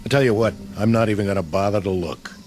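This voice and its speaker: An American actor playing a weary, cynical platoon sergeant in a radio drama, dry and colloquial. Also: male voice, fairly low pitch, quick pace, darkish tone, a little hiss or hum, close to an everyday voice. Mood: dry, wry.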